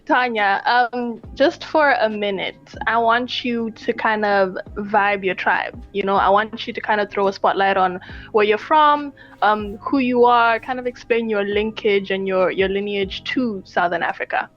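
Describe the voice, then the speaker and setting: A woman hosting a podcast, upbeat and excitable, talking in a casual, slangy way. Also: medium pitch, unhurried, muffled recording, faint music behind. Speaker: podcast host.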